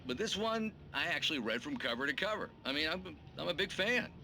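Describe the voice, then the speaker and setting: A man's voice: a little high, very animated, fairly quick, pushed and bright, a little hiss or hum, full character voice. An actor playing a glib late-night talk show host, showy, blunt and joking with his guest. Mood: showy, chummy.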